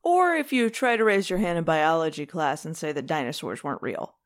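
A woman's voice: deep voice, animated, a little fast. A woman co-hosting a casual discussion, talking informally and often acting out little bits of imagined dialogue. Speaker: podcast co-host.

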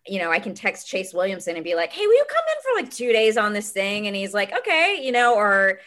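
A woman in her thirties, a filmmaker guest chatting casually in an interview, talking in a quick, youthful way. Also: mid-range voice, huge pitch swings, fairly bright tone. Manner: quick, chatty, self-described teenage way of talking.

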